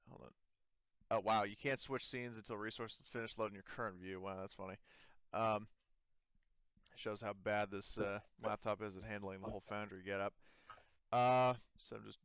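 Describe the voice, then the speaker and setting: A man acting as game master, narrating a tabletop game casually and haltingly as he improvises. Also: fairly low pitch, creaky, fairly bright tone, smooth, no echo. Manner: halting, conversational.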